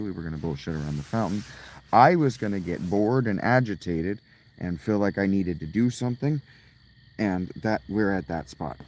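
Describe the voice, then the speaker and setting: A man with a hoarse, strained voice, rambling and swearing as he chats casually with viewers on a livestream outdoors at night. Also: deep voice, a little mellow, slight background noise. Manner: rambling, profane, restarts often.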